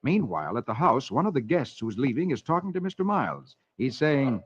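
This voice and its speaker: A man's voice: mid-range voice, very animated, a little fast. An American man hosting and narrating comic-strip stories in 1940s children's radio style. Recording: muffled, noisy vintage radio recording.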